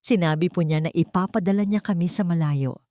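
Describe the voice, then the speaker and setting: A frightened young Filipino boy pleads haltingly in an audio drama, acted out by a woman narrator. He is tearful and timid, and the sound is very dry. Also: lowish voice, mellow, no echo, lightly acted. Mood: fearful, halting.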